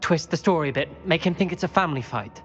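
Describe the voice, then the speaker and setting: A woman's voice: sits low, expressive, fast, in a large hall, lo-fi sound. A woman playing an anxious young wizard-school student in a video game, speaking urgently and earnestly. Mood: urgent, resolute. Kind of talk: dramatic video game acting.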